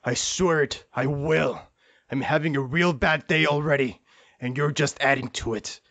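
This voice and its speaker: A man playing a hot-headed fugitive in an audio drama, blunt, agitated and quick to panic or threaten. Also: fairly high pitch, a bit bright, dry recording. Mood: furious.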